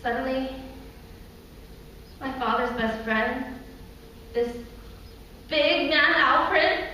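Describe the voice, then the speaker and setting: A woman's voice: sits high, very slow, bright, forceful tone, heavily acted. Actress as a earnest girlish storyteller onstage, echoey. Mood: uneasy, subdued. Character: woman reliving girlhood memories, childlike, hurt and earnest. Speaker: stage performer. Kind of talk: theatrical monologue. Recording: echoey hall, distant mic, faint noise.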